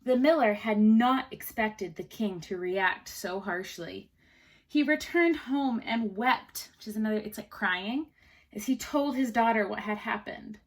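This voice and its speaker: A woman teacher reading a storybook aloud to children, pausing to explain things simply and ask questions. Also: medium pitch.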